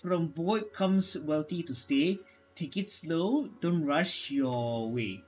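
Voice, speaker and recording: Higher-pitched man reading game text haltingly. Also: lively intonation, a little mellow, soft background music. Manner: halting, stumbles over words when reading. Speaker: gaming streamer. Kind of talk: reading game dialogue aloud during a playthrough.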